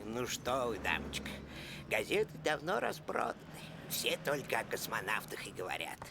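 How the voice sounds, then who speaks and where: A man voicing a chatty, helpful small-town newspaper seller in an animated film, folksy and expressive. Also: mid-range voice, moderate pace, gravelly, noisy background.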